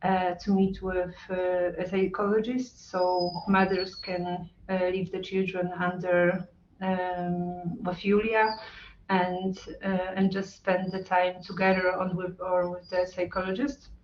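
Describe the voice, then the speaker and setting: A woman's voice: on the low side, flat delivery, a little hiss or hum. A woman on a video call, speaking as a with many ums and uhs. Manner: halting, with frequent ums and uhs. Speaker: hub representative on a video call. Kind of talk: hesitant online talk with many fillers. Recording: roomy, distant, muffled call audio.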